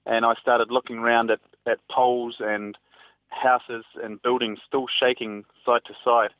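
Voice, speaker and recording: A man's voice: medium pitch, level tone, dry recording. A man, a reporter giving a hesitant, spontaneous eyewitness account in an interview over the telephone. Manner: hesitant, halting. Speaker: newspaper reporter interviewed by phone. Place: on a telephone call. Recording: tinny narrow phone line.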